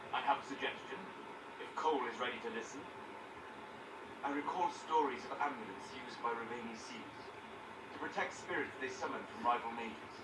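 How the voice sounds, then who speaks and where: A man playing a calm, aloof, scholarly mage in a video game, speaking formally and with pedantic care. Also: mid-range voice, a little fast, lightly acted. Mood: helpful, composed. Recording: roomy, distant game capture, loud background noise.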